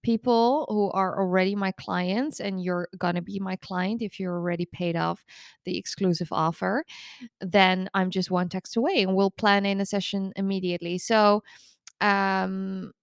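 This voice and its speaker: A woman's voice: mid-range voice, fairly even intonation, normal speed, gravelly, clear, resonant tone, no room sound, studio quality. A woman in her thirties hosting her own podcast as a recovery coach, talking in a candid, chatty way while congested from the flu. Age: 30s. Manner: candid, chatty and self-deprecating. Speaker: podcast host and eating disorder recovery coach. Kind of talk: casual solo podcast monologue.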